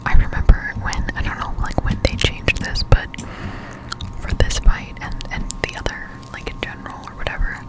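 A woman's voice: moderate pace, poor audio. Whispering woman, ASMR gameplay. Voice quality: breathy unvoiced whisper. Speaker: gaming streamer, ASMR creator. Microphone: close-up microphone.

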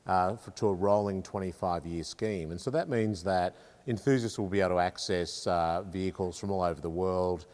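A man's voice: low voice, moderate pace, darkish tone. An Australian man in his fifties explaining something to camera as a company representative. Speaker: car insurance company representative and enthusiast. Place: large indoor car storage garage. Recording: echoey hall-like reverb.

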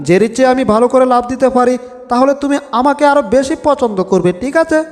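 A man voicing an excitable, boastful, lovestruck cartoon cat, exclaiming theatrically to himself. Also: very high voice, fast. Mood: jealous, boastful. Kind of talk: Bengali cartoon dub comedy. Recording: long reverb with music.

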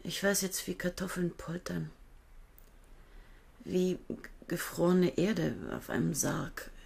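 A woman's voice: lowish voice, takes it slowly, creaky, a little mellow, breathy edge, exaggerated voice. An actress playing a bitter, defensive young woman who has inherited land, speaking in clipped, resentful replies in a radio play. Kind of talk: 1970s radio play acting.

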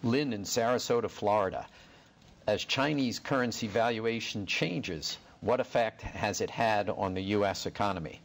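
A man's voice: fairly low pitch, moderate pace, vocal fry. An older man moderating, reading out viewers' names, hometowns and questions in an indoor studio. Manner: reads names and places before each question. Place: indoor studio before a backdrop.

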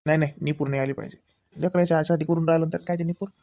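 A man's voice: on the high side, steady tone, racing, warm tone, no room sound, lightly acted. A man voicing an easygoing young husband and father in a comedy cartoon, speaking casual, homely Marathi with a Varhadi accent from Vidarbha. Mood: reassuring. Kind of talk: colloquial domestic comedy cartoon dialogue.

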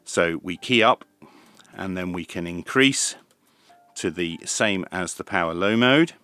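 Man, fifties, low pitch, unhurried pace, methodical product reviewer. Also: expressive, bright, forceful tone, dry recording. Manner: measured, methodical step-by-step explaining. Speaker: presenter of radio equipment reviews. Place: small indoor home radio workshop.

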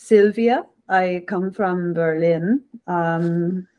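A woman's voice: fairly low pitch, very slow, dull audio. A woman talking casually as a player in a tabletop roleplaying game over a video call.

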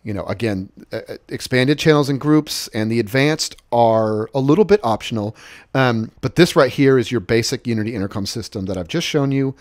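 A man's voice: medium pitch, fairly quick, darkish tone, no echo, clean studio sound. A man presenting a product in a casual, conversational explainer style, recapping points as he walks through slides.

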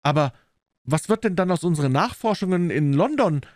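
A man voicing an anxious, eager young gentleman in an audiobook, polite and earnest in manner. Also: fairly high pitch, very animated, fairly quick, no room sound, clean studio sound, voice pushed up. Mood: worried.

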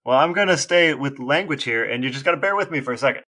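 A man's voice: medium pitch, animated, quick pace, bright, forceful tone. A man co-hosting a podcast, explaining things casually in a dry, matter-of-fact manner. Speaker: podcast co-host.

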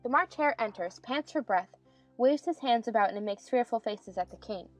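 A woman's voice: on the high side, animated, normal speed, no room sound, faint music behind. A woman narrating a dramatized audiobook, reading the stage directions as scripted narration.

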